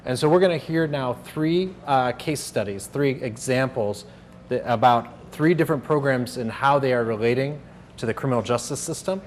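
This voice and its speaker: A man moderates a workshop discussion in a middle-pitched voice. He explains things to the group in halting phrases, with some room noise behind him. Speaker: workshop host and panel moderator. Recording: noisy room recording.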